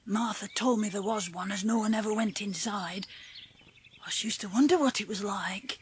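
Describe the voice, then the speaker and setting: A woman playing a friendly, gentle country boy with a Yorkshire accent in a dramatised audiobook. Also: mid-range voice, moderate pace, slight background noise, natural voice. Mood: hushed wonder. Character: friendly, rustic Yorkshire moorland boy, gentle nature lover.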